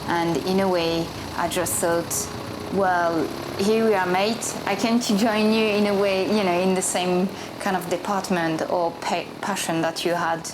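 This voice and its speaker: A woman's voice: fairly low pitch, steady tone, unhurried, few pauses, noisy background. A woman training as a pilot, speaking in an interview and searching for her words as she talks.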